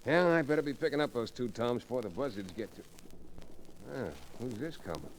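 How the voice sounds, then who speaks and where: An actor playing an easygoing, generous young frontier lawyer in an old-time radio western, with a folksy, wry manner. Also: male voice, mid-range voice, expressive, fairly quick, natural voice. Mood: wry, joking. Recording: noisy vintage radio recording.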